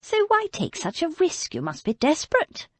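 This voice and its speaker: A woman voicing a frail, proud, sharp-tongued elderly aristocratic lady with a haughty, querulous manner. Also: high-pitched, wildly swooping pitch, normal speed, dry recording, voice pushed up. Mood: sharp, wry.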